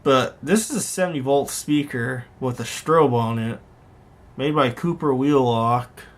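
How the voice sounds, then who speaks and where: A man with a medium-pitched voice talks casually as a hobbyist vlogger. He rambles conversationally through what he is showing, unscripted, correcting himself along the way. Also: unhurried. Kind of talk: casual unscripted show-and-tell commentary on online listings.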